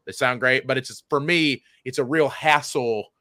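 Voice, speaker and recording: A man's voice: mid-range voice, moderate pace, pushed and bright, no echo. A man co-hosting a casual comedy podcast, rambling and joking with some swearing. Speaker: podcast co-host.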